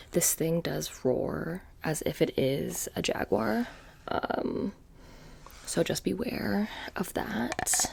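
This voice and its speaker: A woman playing a petty, blasé immortal witch shopkeeper in a soft-spoken ASMR roleplay, rambling casually and slipping into whispers. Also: on the low side, noisy background, heavily acted. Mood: dry, nonchalant. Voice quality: soft, breathy, creaky. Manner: casual rambling, many "like" fillers, drifting into whispers. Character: blasé, petty immortal witch running a haunted trinket shop.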